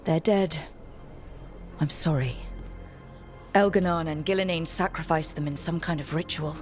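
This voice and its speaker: An actress playing a resolute young leader in a video game, firm, earnest and direct. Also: female voice, sits low, moderate pace, faint music behind, lightly acted. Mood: somber. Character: resolute young heroine, steady team leader.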